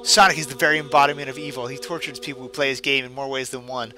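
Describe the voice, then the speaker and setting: A man with a mid-range voice hosts a casual gaming stream, music playing clearly behind him. He comments and reads story text aloud in a sarcastic, unimpressed way. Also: mid-range voice, steady tone, fast talker, pushed and bright.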